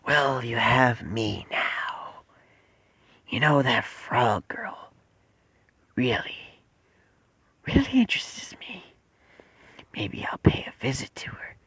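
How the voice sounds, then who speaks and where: A man voicing a sinister creature that lives in a boy's head, in a slow, menacing, breathy whisper with dark humor. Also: medium pitch, lively intonation, no room sound, full character voice. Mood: sly menace. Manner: slow, menacing, drawn-out delivery. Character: sinister male creature voice inside a boy's head, darkly joking.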